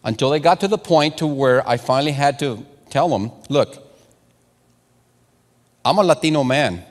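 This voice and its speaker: Spanish-native male preacher, mid-range voice, animated storytelling, long church-hall reverb. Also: mid-range voice, normal speed. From Mexico. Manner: animated storytelling with repeated words and restarts. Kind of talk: sermon to a congregation.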